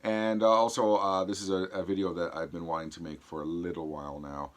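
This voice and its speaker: A man with a low voice speaks to his viewers in a casual vlog style. His throat is sore from an infection, so the voice fades in and out. He talks haltingly, pausing as he demonstrates and explains. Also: little pitch movement. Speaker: vlog host. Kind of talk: casual product demonstration to camera.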